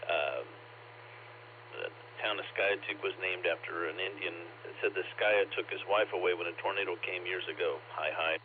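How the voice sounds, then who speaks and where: A low-pitched man with a scratchy, slightly bassy voice from a recent cough. He rambles through his day in easy, chatty fashion on a ham radio net. The sound is thin and narrowband, with faint static. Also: normal speed. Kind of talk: casual on-air chat.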